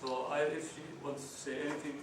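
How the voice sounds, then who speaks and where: A middle-aged man, an ESL teacher giving a workshop talk, halting with many restarts and fillers. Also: a little high, flat delivery. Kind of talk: workshop presentation. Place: conference room. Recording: echoey hall, distant mic, noisy.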